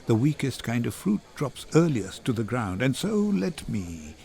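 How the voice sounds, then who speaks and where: A man's voice: medium pitch, sing-song, unhurried, creaky, mellow. An older man voicing a melancholy merchant resigned to death, mournful and subdued, in a dramatic Shakespeare reading. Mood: sad resignation.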